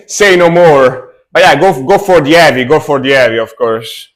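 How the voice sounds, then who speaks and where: A young adult man casually chatting with his stream chat while reacting to metal music, relaxed and talkative. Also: on the high side, moderate pace. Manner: relaxed, chatty, answers chat, fillers and restarts.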